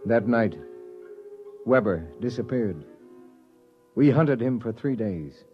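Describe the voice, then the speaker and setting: A man's voice: medium pitch, expressive, takes it slowly. An actor playing a wry spaceship crewman who narrates a grim expedition in a dry 1950s radio drama style. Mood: brooding. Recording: dull old radio recording with music.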